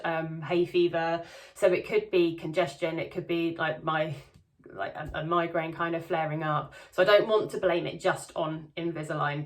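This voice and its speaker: Woman vlogger, slightly low voice, soft lisp, rambling and chatty with lots of ums. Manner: chatty, many ums and restarts. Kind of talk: casual diary vlog. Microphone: camera close-up.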